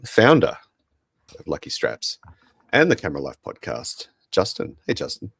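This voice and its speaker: An Australian man with a croaky voice, casually hosting a podcast. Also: extremely low pitch, huge pitch swings, moderate pace, fairly bright tone, no room sound. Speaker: podcast host.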